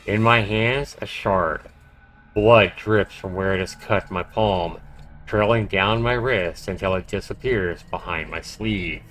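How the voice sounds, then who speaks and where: A man's voice: fairly low pitch, slow pace, fairly bright tone, slight background noise. A man livestreaming a horror visual novel, reading it aloud dramatically and chatting casually about it. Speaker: livestreamer playing and narrating a game.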